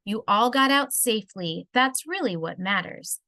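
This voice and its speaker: A woman voicing a kind female firefighter from a children's storybook, consoling in steady rhyme. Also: a little high, moderate pace, no room sound. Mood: reassuring.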